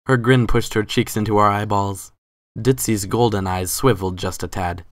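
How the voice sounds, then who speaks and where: A man's voice: fairly low pitch, fairly even intonation, moderate pace, very noisy. A man giving a scripted dramatic reading as the narrator of a story.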